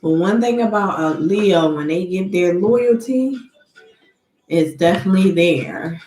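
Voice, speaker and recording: A woman giving a tarot reading who talks slowly and deliberately, sniffling from allergies, and calls on spirits in a measured, invocation-like way. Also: fairly low pitch, expressive, reverberant, low-quality recording. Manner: slow, soft and deliberate, with an invocation-like cadence. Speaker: tarot card reader.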